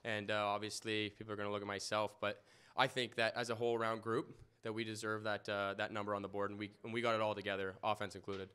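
A young man answering reporters after a game in an indoor press room, humble and halting, with many fillers and restarts. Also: lowish voice, flat delivery, bright, forceful tone, smooth. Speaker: lacrosse goalie. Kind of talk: post-game press interview. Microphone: podium mic in front.

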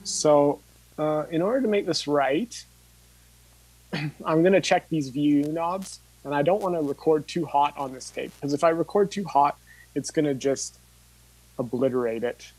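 A man's voice: fairly high pitch, a little slow, a little mellow, poor audio. Casual man teaching hands-on, music behind. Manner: casual, thinking aloud. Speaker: workshop instructor. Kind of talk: informal hands-on tutorial, demonstrating equipment. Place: indoor home studio room with tape gear.

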